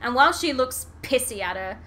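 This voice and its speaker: A woman's voice: on the high side, expressive, a little fast, bright, forceful tone, a little hiss or hum. A woman podcast host in a casual fan discussion, giving her opinions emphatically.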